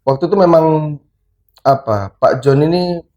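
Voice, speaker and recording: A man's voice: fairly high pitch, unhurried, darkish tone. A man hosting a casual podcast interview, chatty and joking, firing short questions at his guest. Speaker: podcast host.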